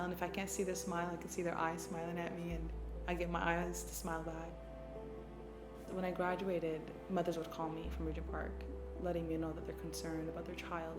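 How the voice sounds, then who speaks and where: A woman with a low, fairly even voice shares personal stories in a reflective, earnest way. She sounds like a community worker being interviewed. The room is echoey and loud music plays underneath her. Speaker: community mental health worker.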